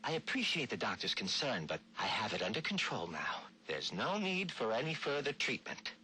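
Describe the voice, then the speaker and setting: An actor playing an uneasy, defensive young crime-family heir in a video game, flustered and indignant. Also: male voice, medium pitch, very animated, moderate pace, pushed and bright. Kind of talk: dramatic video game phone-call acting.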